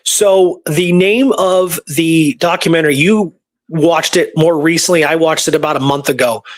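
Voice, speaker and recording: A man's voice: a little high, normal speed. A man co-hosting a casual podcast, talking in an enthusiastic, emphatic storytelling manner. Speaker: podcast co-host.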